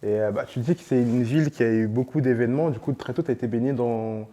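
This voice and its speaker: Male interviewer, mid-range voice, warm tone, laid-back slangy questions. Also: medium pitch, fast. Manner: informal, slangy, many fillers. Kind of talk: casual interview chat. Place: on location in a sports venue.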